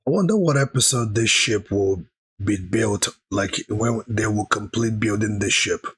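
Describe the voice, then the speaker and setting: A man with a hoarse, recovering voice, chatting through a live anime reaction stream and thanking viewers in the chat. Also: lowish voice, measured pace, fairly bright tone.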